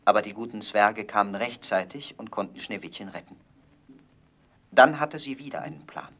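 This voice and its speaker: Male storybook narrator, measured delivery. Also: on the low side, a bit bright. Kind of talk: scripted fairy-tale narration.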